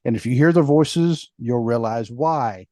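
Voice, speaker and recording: A man's voice: medium pitch, measured pace, a crowd in the background. A man co-hosting a podcast, chatting in a rambling way with lots of fillers. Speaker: podcast co-host. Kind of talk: casual podcast chat.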